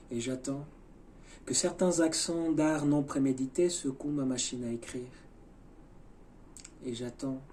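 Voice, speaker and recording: Male poetry reciter, dark soft-edged tone, incantatory delivery swinging from quiet to emphatic; some ambient noise. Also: medium pitch. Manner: deliberate, incantatory, alternating hushed and emphatic phrases.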